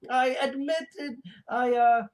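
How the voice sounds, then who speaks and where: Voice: sits high, a little slow. A performer voicing a gloating reptilian mad scientist in human disguise, hosting a comic livestream in a pompous, rambling, villainous manner. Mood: rambling, boastful. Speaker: host of a livestream show. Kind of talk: comic in-character villain monologue.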